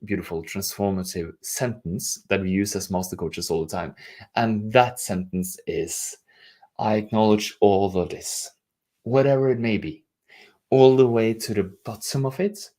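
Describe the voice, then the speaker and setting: A man's voice: on the low side, darkish tone. A middle-aged man from Norway with a Norwegian accent, giving an earnest, self-teasing motivational talk as a leadership coach.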